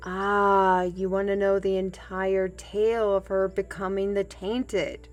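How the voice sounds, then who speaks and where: A woman's voice: medium pitch, level tone, takes it slowly, close to an everyday voice. A woman playing a humble, plain-spoken fantasy trail guide and storyteller in an audio roleplay, with folksy, old-fashioned and hesitant phrasing. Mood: storytelling. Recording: music bed behind the voice.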